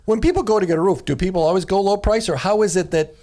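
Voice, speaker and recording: A man's voice: sits high, quick pace, dark tone. A man with an American accent co-hosting a radio show, chatting casually in a studio. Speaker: radio co-host. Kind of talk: casual bantering chat.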